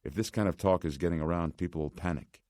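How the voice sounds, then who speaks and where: A man as a brusque, deep-voiced skeptical doctor. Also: darkish tone, dry recording, clean studio sound, lightly acted. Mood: brusque, wary. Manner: brisk, curt, questioning. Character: harried, skeptical male doctor, brusque and doubting. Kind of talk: audiobook character voice.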